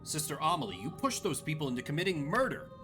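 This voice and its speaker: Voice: medium pitch, big pitch swings, quick pace, close to an everyday voice. A game player voicing an earnest, troubled young woman who questions directly and probingly, over music. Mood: accusing. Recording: music under the voice.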